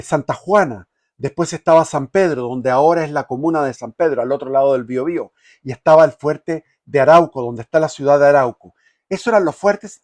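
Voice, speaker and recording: Halting man lecturing on history. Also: fairly high pitch, a little mellow. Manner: halting, many restarts and fillers. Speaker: history commentator.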